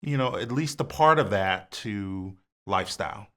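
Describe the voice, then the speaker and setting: A man's voice: lowish voice, normal speed. A man talking casually as a podcast guest, rambling with lots of fillers. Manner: relaxed, rambling with many fillers. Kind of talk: casual conversation.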